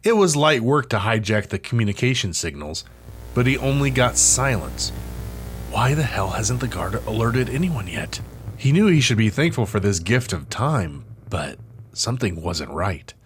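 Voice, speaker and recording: Low-voiced man narrating a suspenseful drama. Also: big pitch swings, slight background noise. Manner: suspenseful, theatrical pauses. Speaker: narrator and host of an interactive audio drama. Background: music.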